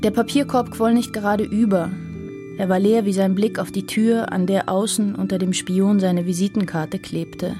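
An actress playing a cool noir narrator in a radio drama, telling the tale in a measured, poetic, descriptive way. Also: female voice, mid-range voice, steady tone, lightly acted. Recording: music behind the voice.

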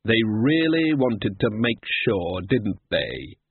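A man voicing a towering, haughty, immortal Death in a slow, measured, solemn way, on a tinny lo-fi recording. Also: on the low side, very animated, breathy edge, no echo, heavily acted. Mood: grave.

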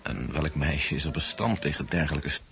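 A man's voice: a bass voice, a little fast, creaky, a crowd in the background. A man acting as a wry storyteller recounting a romance to friends in a radio drama. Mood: engaged. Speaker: narrator. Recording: music behind radio play voice.

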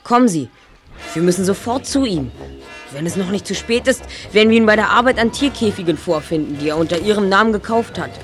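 Woman doing a clever boy detective, calm, explains things, radio play, mid pitch. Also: a little fast, faint music behind, lightly acted. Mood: explaining. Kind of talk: amateur radio play acting.

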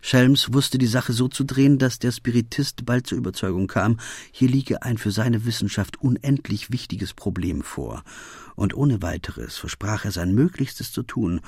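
An actor playing a detective's loyal, puzzled companion, narrating the case in a measured, literary radio play style. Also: male voice, medium pitch, expressive, darkish tone, slightly rough, no echo, clean studio sound. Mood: measured, reflective.

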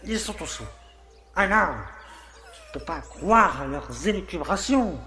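A man's voice: sits high, expressive, measured pace, sharp tone, exaggerated voice. An actor playing an indignant, self-pitying village mayor who stammers as he protests his innocence in a French audio drama. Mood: shocked, pleading. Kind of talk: French audio fiction acting. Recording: roomy, echoing sound.

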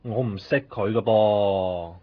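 A Hong Kong shopkeeper, hesitant and on the defensive. His Cantonese voice is husky and rough. It has the dull sound of an old radio drama recording. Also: lowish voice, slow. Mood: evasive. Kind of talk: 1990s radio drama acting.

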